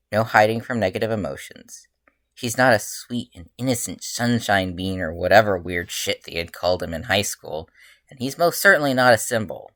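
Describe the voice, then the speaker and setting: A person reading their own fan fiction aloud as narrator, with expressive, emphatic delivery. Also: medium pitch, no echo.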